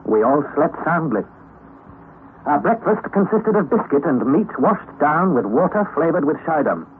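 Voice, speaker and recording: An actor playing Harry, a young nephew narrating an expedition, in a 1930s radio drama, on a tinny old recording. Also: male voice, fairly high pitch, sing-song, slight background noise. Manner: measured storytelling narration.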